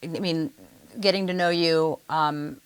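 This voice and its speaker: A woman hosting a music interview, telling stories in a chatty, animated way. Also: deep voice, a little slow, smooth, no echo. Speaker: podcast host and singer. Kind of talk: casual interview conversation.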